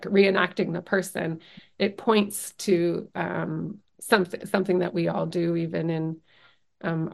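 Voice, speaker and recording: A middle-aged woman whose first language is French, speaking as a guest researcher in an interview, thoughtful with frequent pauses and restarts. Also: on the low side, creaky. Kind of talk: academic interview conversation.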